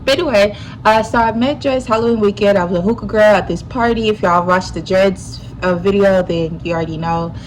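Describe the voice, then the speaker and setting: A woman's voice: mid-range voice, a little fast, slight background noise, poor audio. Chatty young woman, a bit stuffy. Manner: chatty, animated storytelling with lots of slang. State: stuffy nose. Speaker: YouTuber. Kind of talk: casual storytime.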